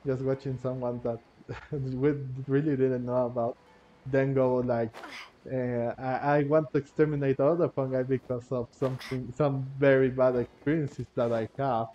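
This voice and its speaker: Halting man commenting on a game, muffled. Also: medium pitch, level tone, dark tone. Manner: halting, repetitive, rambling. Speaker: gamer, video host. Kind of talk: casual game playthrough commentary. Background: game music.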